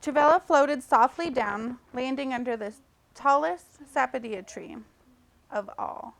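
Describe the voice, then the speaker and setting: A woman teacher reading a storybook aloud expressively to children, pausing to check in with simple questions. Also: high voice, gravelly, a bit bright.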